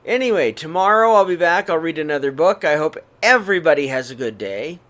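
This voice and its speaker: A middle-aged man reading a children's picture book aloud in his living room, then talking warmly to young listeners, with a gentle, unhurried pace and the odd throat clear. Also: a little high, lively intonation. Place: living room, seated on a sofa before curtains. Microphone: webcam or laptop camera at close range.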